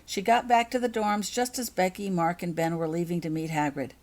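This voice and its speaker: A woman's voice: fairly low pitch, steady tone, fairly quick, darkish tone. A woman narrating a story as its author, in an audiobook reading style.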